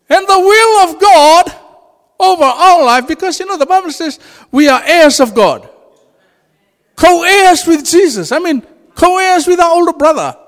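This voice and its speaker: High-voiced Fijian-accented man preaching. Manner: animated, laughs often, rises to exclamations. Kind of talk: informal sermon. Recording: reverberant hall.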